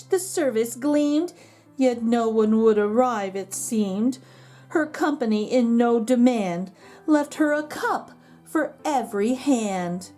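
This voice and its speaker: A woman narrates a picture book for children in a high, soft-toned mellow voice. She reads at a slow, measured pace with expressive, rhythmic phrasing. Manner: measured rhyming delivery with gentle asides to children. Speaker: children's read-aloud storyteller.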